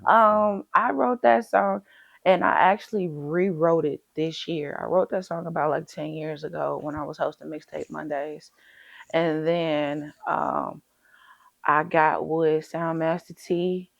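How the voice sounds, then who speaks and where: A confident woman talks about her music in a relaxed way. Her voice sits a little low. The recording is dry and slightly dull. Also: measured pace, gravelly, dry recording. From Chicago, USA. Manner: relaxed and confident, slips into animated quoting of herself. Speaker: rapper, interview guest. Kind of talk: casual interview.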